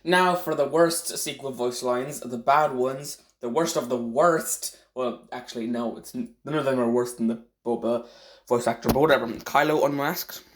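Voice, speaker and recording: A man with a middle-pitched voice talks through his rankings like a casual online host. His delivery is loose and unpolished, opinionated yet hesitant, wandering as he goes. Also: moderate pace. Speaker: video host ranking game voice actors.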